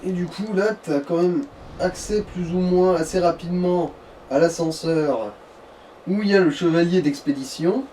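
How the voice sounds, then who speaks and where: A man's voice: sits high, normal speed, mellow. A man casually giving directions to someone playing a video game, explaining in colloquial words. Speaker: co-commentator guiding the player. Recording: slightly distant, noisy voice recording.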